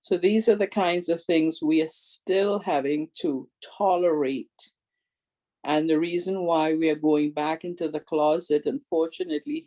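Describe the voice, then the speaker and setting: Older woman presenter with a big voice, unhurried and warm in tone, earnestly urging her listeners. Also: sits low, poor audio. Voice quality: big, strong voice. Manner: earnest, pleading, repeats requests. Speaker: presenter and advocate. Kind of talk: community awareness talk.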